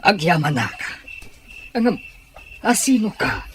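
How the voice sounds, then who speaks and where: A man's voice: high voice, sing-song, unhurried, a bit bright, slight background noise, heavily acted. A man with a Filipino accent playing a trapped, anxious captive in a radio drama. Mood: alarmed, puzzled. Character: trapped, anxious male captive desperate to escape. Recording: music bed behind the voice.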